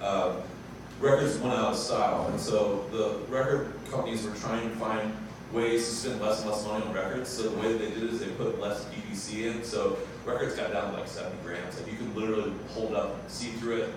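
A man's voice: fairly low pitch, steady tone, a little fast. A young man who owns a vinyl pressing plant, casually explaining to a live audience with lots of fillers and self-corrections, into a handheld mic in a brick bar. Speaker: vinyl pressing plant owner speaking at a science cafe. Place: large brick-walled bar room. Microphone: handheld mic, filmed from far off. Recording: echoey room, far camera, background noise.

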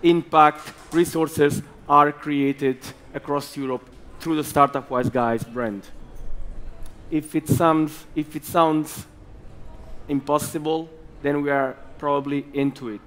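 A man in his thirties with an Italian accent, giving an emphatic talk as an investor hosting a conference stage. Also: a little high. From Italy. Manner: slow, emphatic, animated bursts. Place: large conference hall with audience.

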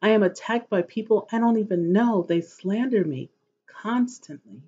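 A middle-aged woman reading scripture aloud in a measured, solemn, devotional way, with a husky voice. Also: lowish voice, darkish tone. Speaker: host of an inspirational reading series. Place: living room at home, indoors. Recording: dull, lo-fi webcam audio.

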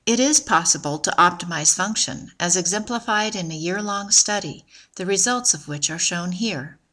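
A woman narrating a scripted promotional video in an even, measured, informative way. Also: on the low side.

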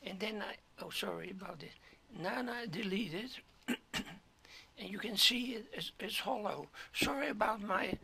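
A hoarse man talking through a 3D modeling tutorial step by step, halting, often clearing his throat and dropping to a whisper. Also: high voice, bright, forceful tone. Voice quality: gravelly, keeps clearing throat. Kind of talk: screen-recorded software tutorial.

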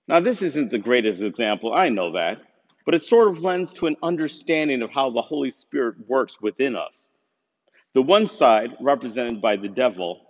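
A man preaching from a lectern, earnest and measured, his voice carrying a long reverb through a small brick chapel. The recording is a little dull and muffled, picked up by a camera across the room. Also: mid-range voice. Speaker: pastor. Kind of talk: sermon.

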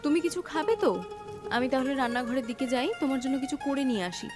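A woman's voice: high-pitched, fast, music in the background, natural voice. A woman voicing a kind, dutiful sister-in-law in a cartoon moral story, speaking in a polite, attentive way. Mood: polite, hospitable.

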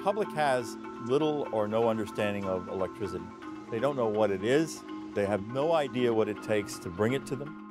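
A man's voice: fairly low pitch, lively intonation, brisk. A man speaking in a documentary clip, with music behind him. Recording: music bed under speech.

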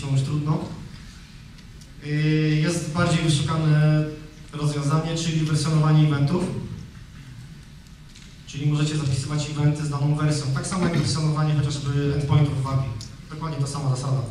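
A man's voice: fairly high pitch, darkish tone, a little hiss or hum. Man speaking in an echoey conference hall. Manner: conversational, with frequent fillers and restarts. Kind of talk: technical conference talk. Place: large conference hall, on stage. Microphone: handheld microphone. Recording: echoey hall, distant from the mic.

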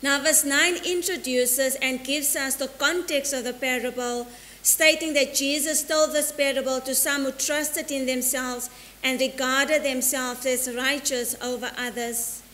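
A middle-aged woman priest from South Africa delivering a measured, deliberate sermon from the altar, slowing down for quotations. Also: sits high, fairly even intonation, pushed and bright. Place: church sanctuary in front of an altar. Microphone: stand mic at the altar, filmed by a fixed camera. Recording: long church reverb with faint hiss.

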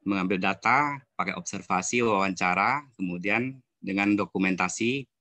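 A man in his fifties giving a slide presentation over a video call, halting and repeating phrases. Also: low voice, sharp tone, no echo. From West Kalimantan, Indonesia. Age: 50s. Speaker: student presenter. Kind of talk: slide presentation at an online seminar.

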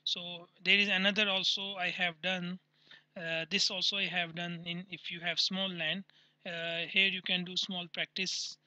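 A man hosting his construction channel, talking through CAD plans on screen in halting English full of pauses and fillers. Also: sits high, level tone, pushed and bright, no echo, dull audio. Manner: halting non-native English with many uh fillers, pointing at drawings. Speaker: channel host, construction designer.

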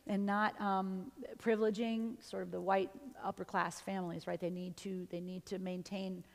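A middle-aged woman from New York, a university professor, answering questions after her talk in a chatty, animated way. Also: medium pitch, moderate pace, creaky. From New York, USA. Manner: chatty, animated, laughs easily. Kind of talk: lecture and audience Q&A. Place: large auditorium stage. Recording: long hall reverb from the auditorium.

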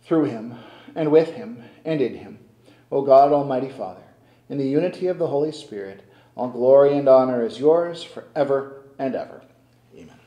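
An older man, a priest, reciting the prayers of the Mass at the altar in a slow, solemn, measured way. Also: medium pitch, lively intonation, mellow, lo-fi sound. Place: stone-walled church sanctuary at the altar. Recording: echoey church.

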